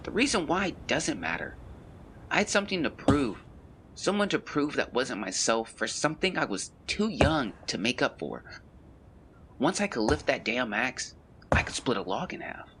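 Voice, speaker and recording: A solemn young man of the 1920s, played by a male actor, carries grief and shame in a confessional audio-drama monologue. His mid-pitched voice rises and falls expressively. Outdoor ambience with birdsong is clearly audible behind his literary, measured words. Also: very animated, fairly bright tone, slight background noise. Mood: haunted, confessing.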